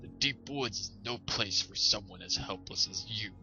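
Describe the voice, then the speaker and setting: Man playing a gravelly, henpecked storybook trapper. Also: fairly low pitch, normal speed, bright, forceful tone, muffled recording, heavily acted. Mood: stern warning. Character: hard-working, long-suffering, henpecked male trapper. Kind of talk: storybook character voice. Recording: music behind the voice.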